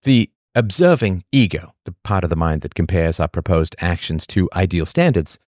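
Low-voiced man reading a lively monologue. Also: no room sound, studio quality. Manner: rhetorical questions with emphatic pauses. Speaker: podcast host.

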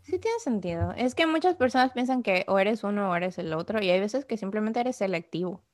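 Woman, podcast co-host, casual, frequent code-switching, medium pitch, dry recording. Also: animated, a little fast, a little mellow, no echo. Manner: switches freely between Spanish and English. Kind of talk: casual chat.

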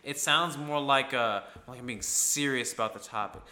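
A man's voice: mid-range voice, moderate pace, sharp tone. A man from upstate New York hosting a podcast, talking casually and animatedly and swearing when he gets fired up. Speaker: podcast host. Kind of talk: casual conversation. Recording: echoey room.

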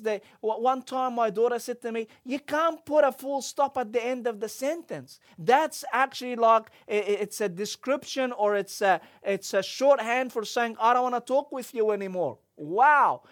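A middle-aged man, preaching a sermon and telling a personal story, with lively quoting and frequent restarts. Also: very high-pitched, normal speed, few pauses. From Egypt. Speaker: pastor.

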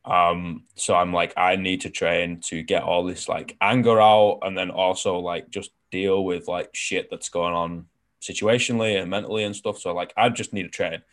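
A man's voice: deep voice, fairly even intonation, moderate pace, fairly bright tone. A young man hosting a casual interview, talking frankly and rambling with lots of fillers and the odd swear word. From outside New Zealand. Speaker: podcast host.

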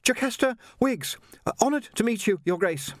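A high, lively young woman of deferential, eager politeness, as a male narrator puts her on in a storybook reading. She is a trainee assassin, earnest and proper. Her voice leaps about with sprightly animation. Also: normal speed, dry recording, studio quality. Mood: earnest, polite. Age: young adult.

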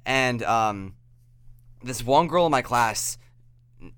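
A man's voice: mid-range voice, lively intonation, slow pace, fairly bright tone, clean tone, no room sound. A man from upstate New York telling a story in casual chat, rambling, full of restarts and "like", swearing freely. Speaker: podcast guest.

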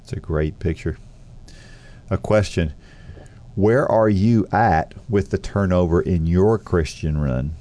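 A man co-hosting a faith podcast, sounding congested and nasal, speaking in a thoughtful, relaxed way. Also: low-pitched, slow. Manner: thoughtful, relaxed, frequent affirmations. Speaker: podcast co-host.

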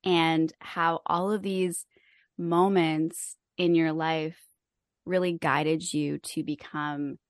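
A woman's voice: sits low, flat delivery, slow pace, dry recording, studio quality. A woman hosting a podcast, greeting her guest in a gushing, enthusiastic way. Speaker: podcast host.

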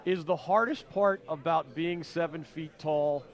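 A man hosting a radio interview, talkative and fond of jokes, with a somewhat high voice. He keeps the questions coming and chats easily with his guest. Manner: talkative, quick, jokey. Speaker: radio show host.

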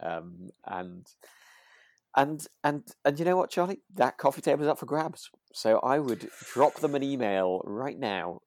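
British man, podcast co-host, lively swooping intonation, dry close sound, chatty and giggly. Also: mid-range voice, unhurried, no echo. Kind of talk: casual chat about a radio drama.

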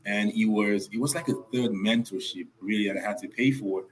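A man's voice: on the low side, a little fast, slight background noise, low-quality recording. A young man from Nigeria, a guest on a podcast, telling his story in an earnest way and repeating points for emphasis. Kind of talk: casual podcast interview.

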